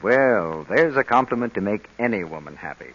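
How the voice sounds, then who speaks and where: Low, husky vintage radio announcer. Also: male voice, expressive, moderate pace, a bit bright. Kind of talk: 1930s-40s radio announcing and sponsor ad. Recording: dull vintage radio broadcast recording.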